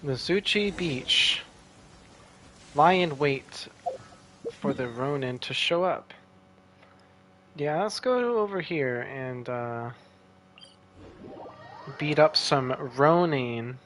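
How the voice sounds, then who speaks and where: A man's voice: a little high, animated, drawn-out words, long pauses, a bit bright, slight background noise. A man giving casual, laid-back gameplay commentary in short muttered reactions.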